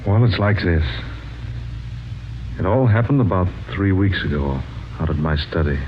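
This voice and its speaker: An earnest, troubled writer in vintage radio drama. His voice is deep and measured, with a reflective, sombre manner. The old recording is dull and slightly noisy. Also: lively intonation. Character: earnest, troubled male pulp writer telling his story. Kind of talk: 1940s radio acting.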